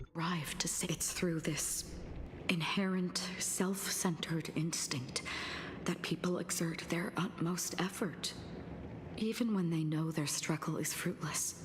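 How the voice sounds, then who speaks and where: A grave, philosophical video game heroine with a low, unhurried voice. She speaks softly and formally, pausing with quiet conviction. Her words hang in long reverb. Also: slight background noise. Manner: measured, grave, formal, with deliberate pauses. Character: solemn, calm young woman, a philosophical guide of quiet conviction. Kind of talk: scripted video game story dialogue. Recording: long reverb, loud game music behind.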